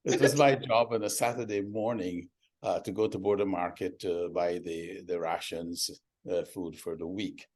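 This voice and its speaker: An older man from Guyana, a guest telling his life story in a measured way with frequent pauses. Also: deep voice, steady tone, darkish tone. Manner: measured, recalls details with many "uh" pauses. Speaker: guest, doctor and company founder.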